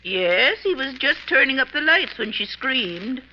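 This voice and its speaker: A shrewd, self-assured lady sleuth, commanding and urgent. Her voice is bright and slightly high. It is a 1950s radio mystery with muffled, old broadcast sound. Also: very animated, a little slow, heavily acted. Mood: determined. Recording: muffled, faintly hissy 1950s radio recording.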